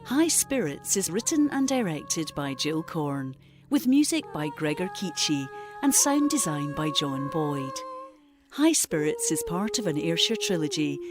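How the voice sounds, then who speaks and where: Woman, scripted announcer, deep voice, lively melody, music behind. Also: unhurried. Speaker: credits announcer. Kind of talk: scripted narration.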